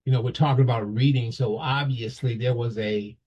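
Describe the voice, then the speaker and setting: A man hosts an interview, circling around his questions loosely. His voice is husky and slightly raspy, and the audio sounds dull and lo-fi. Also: medium pitch, fairly even intonation, brisk, darkish tone. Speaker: interview host. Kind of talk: conversational interview.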